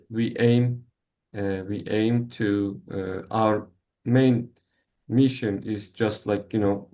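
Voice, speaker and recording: A man's voice: on the low side, darkish tone. A man hosting an online meeting, speaking English as a with many fillers and restarts. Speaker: meeting host. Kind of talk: online meeting, casual moderating.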